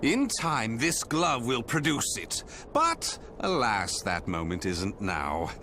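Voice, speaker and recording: An actor playing an eccentric, fanatical scientist in a video game, pompous and gloating, with grandiose theatrical delivery. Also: male voice, mid-range voice, noisy background. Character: eccentric fanatical male scientist, grandiose, obsessed with saving humanity. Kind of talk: dramatic video game character acting. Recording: loud music behind the voice. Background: loud music.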